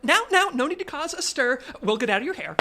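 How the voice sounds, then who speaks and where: A man's voice: extremely high pitch, very animated, fast, crowd noise, exaggerated voice. An actor playing Skip, a flustered male agent posing as a waiter, who stammers and stays overly polite in an audio comedy.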